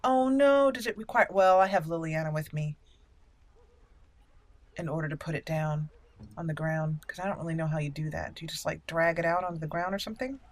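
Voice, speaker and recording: A woman's voice: sits low, fairly quick. A woman giving casual gameplay commentary, rambling and thinking aloud as she plays. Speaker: gameplay host.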